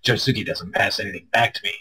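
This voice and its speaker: This is a man with a very raspy, strained voice that sits fairly low and cuts through sharply. He reads a visual novel aloud as a streamer, expressive and crude, slipping into casual banter and tripping over lines. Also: fast talker. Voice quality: husky, strained throat.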